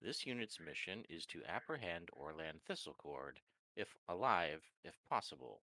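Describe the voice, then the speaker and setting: A man's voice: sits low, big pitch swings, normal speed, pushed and bright, dry recording, exaggerated voice, poor audio. A man voicing a terse, mechanical warforged construct that announces each statement type before speaking. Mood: flat, methodical. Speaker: player.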